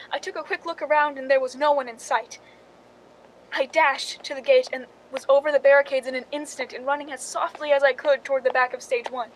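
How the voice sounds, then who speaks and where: A high-pitched female voice over noticeable background noise, a woman acting a jealous, scheming stand-in. She confides her dark plot as radio-drama narration, tense and suspenseful. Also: lightly acted, poor audio. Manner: suspenseful, confessional storytelling.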